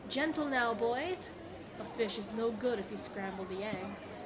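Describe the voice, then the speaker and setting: A woman's voice: a little high, expressive, unhurried, crowd noise, noisy background. A woman playing a smug, haggling female merchant with glib, theatrical sales patter in a fantasy audio drama. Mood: brisk, sly. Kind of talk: scripted fantasy audio roleplay.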